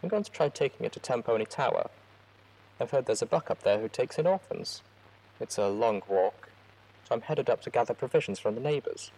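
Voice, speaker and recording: A man voicing a worried young mare writing to a friend, read in a soft, hushed voice. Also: medium pitch, expressive, fairly quick, low-quality recording. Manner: soft, hushed letter reading.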